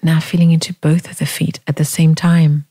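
A woman leading a guided body scan meditation in a calm, gentle, unhurried manner, leaving long pauses. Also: low voice, husky.

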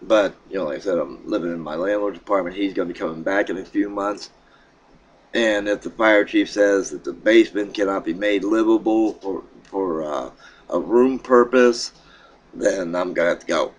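A middle-aged man with a deep, low voice talks into a desk webcam in a small room at home. His throat sounds froggy, and his speech wanders, with halting restarts and plenty of fillers. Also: slightly rough. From Pennsylvania. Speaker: vlogger. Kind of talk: casual vlog update.

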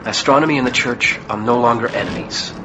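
A man's voice: mid-range voice, fairly quick, lightly acted. A man playing a droll priest over noisy ambience. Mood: gently playful. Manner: droll, gently teasing. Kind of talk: radio play acting. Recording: clearly noisy background ambience.

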